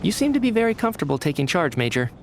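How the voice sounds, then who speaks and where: A man's voice: on the high side, big pitch swings, rapid-fire, noisy background. An actor playing a sly male guest who speaks in a pointed, probing way. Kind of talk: video game voice acting.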